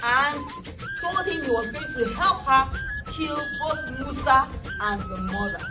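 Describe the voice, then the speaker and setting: Very animated, high-pitched Nigerian woman storyteller. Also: unhurried. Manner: animated, dramatic, asks listeners questions. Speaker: children's storyteller and educator. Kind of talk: interactive folktale storytelling for children. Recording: distant mic, loud background music.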